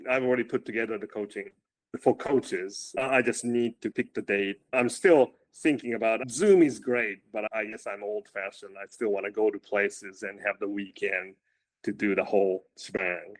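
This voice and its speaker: A man with a loud voice and a somewhat low pitch talks about coaching in a relaxed interview. He often restarts his sentences, repeating the first words before he gets going. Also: poor audio. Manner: halting, repeats words when starting sentences. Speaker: running coach. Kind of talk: casual interview conversation.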